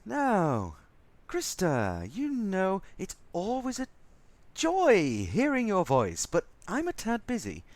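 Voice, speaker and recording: Male actor as exasperated spaceship crewman, sardonic, ranting, very animated melody, sci-fi audio drama. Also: high-pitched, slow, no echo, exaggerated voice. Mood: sarcastic, irritated.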